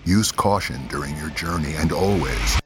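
A man giving a scripted, dramatic introduction in a solemn, deliberate way. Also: rumbling low, over music. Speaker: show intro announcer.

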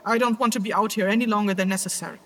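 A woman's voice: mid-range voice, steady tone, racing, a little hiss or hum, lightly acted. A woman playing a stubborn, exasperated nonhuman female circle crafter in an audio drama. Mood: dry, determined. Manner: curt, exasperated, insistent.

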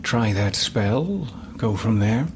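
An uneasy, helpful adventurer from a fantasy audio drama, acted by a man. He offers help hesitantly in a lowish voice at a very slow pace, over faint noise. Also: expressive. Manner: hesitant, unhurried. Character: uneasy, helpful male fantasy companion who knows curses.

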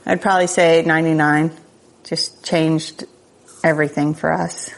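A woman in her forties being interviewed, relaxed and self-deprecating, laughing easily. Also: low-pitched, moderate pace, creaky. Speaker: guest. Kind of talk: casual conversational interview.

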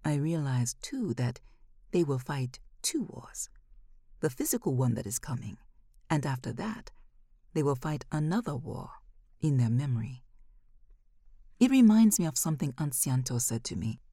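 A woman reading literary fiction as an audiobook narrator, measured, with phrases often falling to a hush. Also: rumbling low, very animated, breathy edge, dry recording, clean studio sound.

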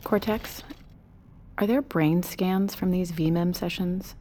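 A woman's voice: on the low side, steady tone, takes it slowly, dark tone, a little hiss or hum. A woman playing a blunt, driven scientist in an audio drama, terse and demanding in her delivery. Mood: alarmed. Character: driven, blunt female scientist, increasingly alarmed.

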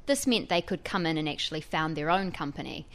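Kiwi woman reporter explaining a news story on radio, slightly low voice. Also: expressive, fairly quick. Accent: New Zealand. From New Zealand. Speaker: technology reporter.

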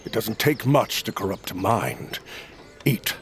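A man playing a calm rebel chief in a fantasy audio drama, deliberate and courteous with menace underneath. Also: fairly low pitch, slight background noise. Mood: calm, ominous.

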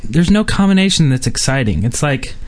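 A man's voice: fairly high pitch, sing-song, fairly quick. A man hosting a podcast, chatting casually and without a script about games. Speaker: podcast host. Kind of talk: casual unscripted gaming chat.

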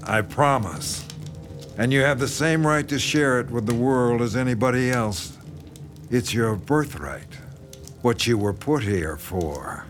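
An actor playing a sinister, obsessive horror writer with a coaxing, intense, condescending manner in a radio drama. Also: male voice, medium pitch, animated, unhurried, close to an everyday voice. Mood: smug, persuasive. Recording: dramatic music behind the voice.

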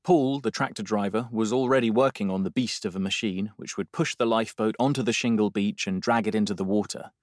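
A man narrates a novel with brisk, no-nonsense delivery. His voice is fairly low, and the recording is dry and close. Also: no room sound. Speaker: audiobook narrator.

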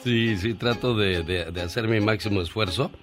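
A man's voice: lowish voice, normal speed. A man hosting a radio show in a casual, informal chat, telling things as anecdotes. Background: music bed.

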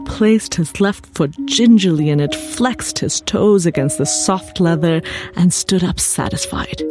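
Woman reading literary fiction over music. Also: fairly low pitch. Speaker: author reading own fiction. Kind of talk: literary story reading with character dialogue. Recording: music bed behind voice. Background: composed background music.

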